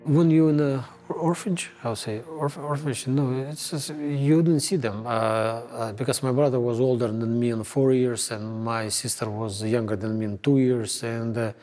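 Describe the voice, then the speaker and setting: A man speaking halting English in a documentary interview, often pausing to search for words. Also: medium pitch, fairly even intonation, a little mellow, faint music behind. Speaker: interviewee, fighter.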